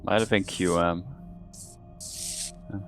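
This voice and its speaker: A man's voice: sits low, steady tone, slow, soft background music. A man who plays in a tabletop game, chatting casually with his group. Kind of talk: casual chat.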